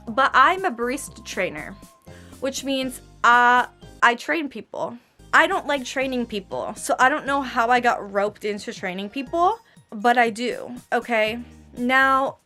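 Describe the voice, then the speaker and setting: A woman's voice: a little high, pushed and bright. A twenty-one-year-old woman with a slight lisp, ranting about her barista job in a casual vlog, exasperated and slangy, checking in with "okay?" over and over. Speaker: vlogger and barista trainer.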